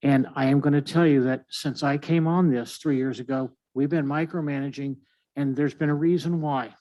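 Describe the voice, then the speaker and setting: A man's voice: on the high side, fairly even intonation, normal speed, dark tone, rough recording. Candid male council member. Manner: candid, rambling with fillers. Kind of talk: council discussion.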